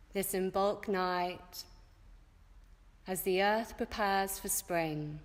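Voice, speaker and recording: Female meditation guide, extremely unhurried and soothing, long pauses, recorded in an echoey space with long reverb. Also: mid-range voice. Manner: soothing, measured, with long pauses between phrases. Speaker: yoga nidra teacher leading guided relaxation.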